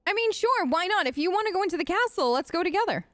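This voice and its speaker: A woman's voice: very high voice, lively intonation, fast, no echo, clean studio sound. A woman giving casual, playful let's play commentary, chatty and exclaiming, with game sounds behind her. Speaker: gaming host. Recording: loud game noise behind voice. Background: game sounds and ambience.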